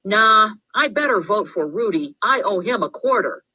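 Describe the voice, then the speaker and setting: Woman as a cheeky, high-pitched heckling schoolboy. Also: expressive, moderate pace, breathy edge, dry recording, muffled recording. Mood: teasing. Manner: loud, teasing, blurting. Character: cheeky heckling schoolboy, mischievous class clown.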